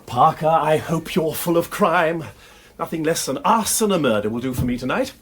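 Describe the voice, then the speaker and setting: A witty English aristocrat who dabbles in detection, for a radio drama. His upper-class voice is fairly high and very animated, with a little huskiness. He banters flippantly and drawls his exclamations. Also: moderate pace, breathy edge. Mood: jovial, theatrical. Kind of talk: BBC radio drama acting.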